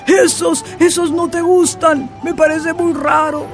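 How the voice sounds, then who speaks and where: A woman's voice: very high-pitched, level tone, unhurried, music in the background, voice pushed up. Woman doing a fretful, stammering old king. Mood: puzzled. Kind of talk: storybook character voice.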